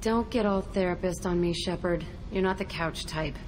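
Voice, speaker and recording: A woman's voice: on the low side, moderate pace, darkish tone, natural voice. A woman playing a hardened, foul-mouthed, wounded young ex-prisoner in a video game, blunt and defiant in delivery. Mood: guarded, wry. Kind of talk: video game cutscene acting. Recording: echoey hall, clear background noise.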